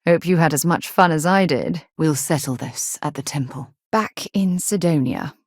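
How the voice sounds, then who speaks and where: Game casting: principled female expedition leader and scientist. Low, husky register, composed and articulate, earnest delivery, dry studio sound. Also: normal speed, no echo. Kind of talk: video game companion dialogue.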